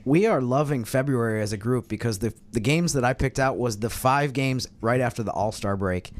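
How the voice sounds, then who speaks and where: Male sports podcast co-host from New York, mid-pitched, wry and conversational. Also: a little fast, slight background noise. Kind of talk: casual sports roundtable chat.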